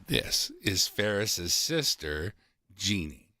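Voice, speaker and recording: A man plays an earnest, supportive teenage friend in a script reading. The voice is low-ish and bright, with very wide swings in pitch. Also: very animated, takes it slowly, soft background music, exaggerated voice.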